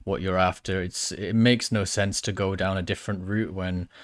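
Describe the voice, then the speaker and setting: A man with a fairly low voice hosts a relaxed conversational interview. He speaks haltingly, thinking aloud as he puts questions and comments together. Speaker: podcast host, dentist. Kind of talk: casual interview chat.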